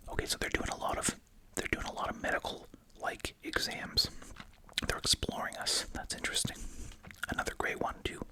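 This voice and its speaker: An ASMR host speaks entirely in an unvoiced whisper, right up close to the microphone. The delivery is hushed and informal, moving between reading aloud and musing asides, with gum being chewed along the way. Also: a little fast. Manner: chewing gum while speaking.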